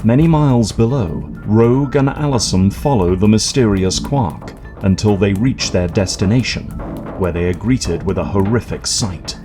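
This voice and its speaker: A man narrating an audio drama in a measured, descriptive way. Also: lowish voice, music in the background. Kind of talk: scripted narration.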